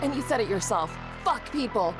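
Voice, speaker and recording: Defiant young witch for horror-comedy drama, female actor, high voice over loud dramatic music. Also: animated, brisk, natural voice, low-quality recording. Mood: building defiance. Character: fierce, defiant young witch casting banishing spells.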